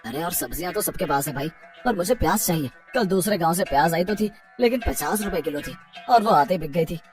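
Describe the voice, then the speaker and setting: A woman's voice: fairly low pitch, animated, a little fast, over music, lightly acted. A woman voicing an insistent, grumbling male market customer in a children's cartoon story. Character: onion-craving male market customer, low-voiced, insistent.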